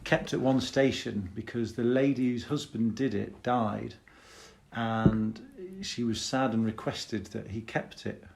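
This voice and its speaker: A man's voice: medium pitch, fairly even intonation, a little slow, vocal fry, darkish tone. A man co-hosting a podcast, chatting casually, skeptical and hesitant, often trailing off mid-thought. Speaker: podcast co-host. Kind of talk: casual chat reviewing a song.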